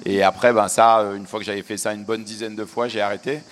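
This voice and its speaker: A man's voice: lowish voice, level tone, fast, in a large hall. A middle-aged man, a journalist and author, answering interview questions in a reflective, self-deprecating way.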